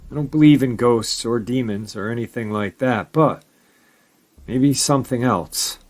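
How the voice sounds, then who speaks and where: A man hosting a paranormal channel, reading viewer-submitted encounter stories aloud in a steady storytelling manner with brief casual asides. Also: medium pitch, measured pace.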